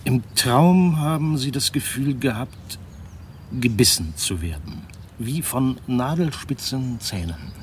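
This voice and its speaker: An actor playing a grave, authoritative yet soothing family doctor in a German radio drama, measured and probing. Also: male voice, mid-range voice, lively intonation, slight background noise.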